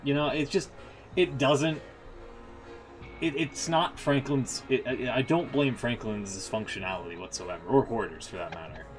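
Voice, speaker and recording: A man's voice: medium pitch, normal speed, over music, low-quality recording. A man hosting a game stream with casual, opinionated, rambling commentary and some swearing.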